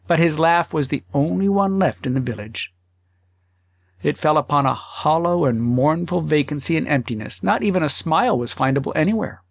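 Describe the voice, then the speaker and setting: A man reads a humorous literary tale as an audiobook narrator. His voice sits a little high. He phrases deliberately and with expression, pausing between phrases, in a very dry, close sound. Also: vocal fry, no echo.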